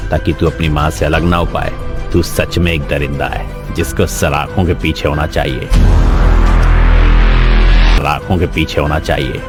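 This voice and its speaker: Man playing a stern, low-voiced police inspector. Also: steady tone, measured pace, long pauses. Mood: accusing. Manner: firm, interrogating, dramatic. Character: stern, tough male police sub-inspector, threatening. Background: loud music.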